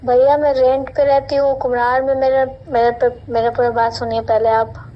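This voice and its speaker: A woman's voice: sits high, little pitch movement, normal speed. A young woman with a Bihari accent answering plainly and respectfully in casual speech on a phone call. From Patna, Bihar, India. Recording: muffled noisy phone call recording.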